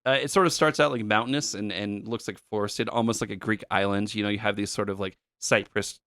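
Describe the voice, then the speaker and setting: A man with a lowish voice talks casually as a podcast co-host, explaining things in a relaxed way with many fillers. His voice sits close and dry, with no room sound around it. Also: a little fast, no room sound. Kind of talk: casual chat about retro games.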